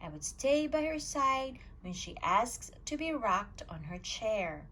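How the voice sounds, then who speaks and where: A woman reading a children's picture book aloud at storytime, telling it with an expressive, swinging voice. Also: a little high, takes it slowly, slight background noise. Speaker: storytime reader.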